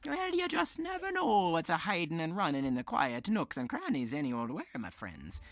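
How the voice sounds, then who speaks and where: A man playing a kindly old Irish storyteller, folksy and gentle, telling tales in an audio drama. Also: a little high, wildly swooping pitch, normal speed, soft background music, heavily acted. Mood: kindly, playful.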